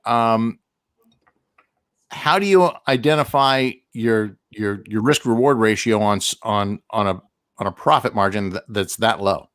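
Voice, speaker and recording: Low-voiced halting male co-host, dry sound. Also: fairly bright tone, clean tone, no room sound. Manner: halting, many fillers, joking. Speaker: co-host and interviewer. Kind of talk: casual interview chat.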